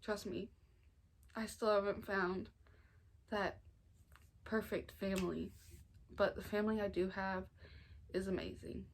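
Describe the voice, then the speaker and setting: A young American woman of about nineteen, talking informally and earnestly in a halting way, as in a personal storytime vlog. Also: mid-range voice, steady tone, a little hiss or hum. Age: 19, nearly 20. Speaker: family vlogger. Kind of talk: personal storytime vlog, casual confessional talk.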